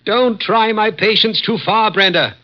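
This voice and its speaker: A man's voice: sits high, measured pace. An actor playing a cold, mocking male executive in a 1950s radio drama. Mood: sneering. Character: cruel sneering male advertising executive. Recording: dull old radio broadcast.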